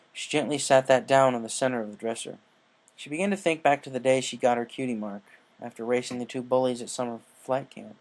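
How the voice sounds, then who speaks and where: A man's voice: medium pitch, darkish tone, poor audio. Male narrator, mid-range voice, reading scripted fan fiction. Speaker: audiobook narrator.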